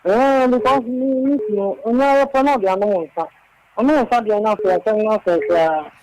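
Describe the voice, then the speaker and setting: A man's voice: high-pitched, a little fast, darkish tone, dry recording, rough recording. A man with a Ghanaian accent calling a radio show, earnestly telling a story. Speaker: caller. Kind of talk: radio phone-in call.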